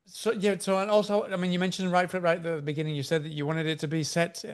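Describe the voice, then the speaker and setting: A man's voice: a little high, little pitch movement, fast, smooth. A man hosting an interview, asking questions eagerly and agreeing often. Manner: eager, frequent agreeing backchannels. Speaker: interview host.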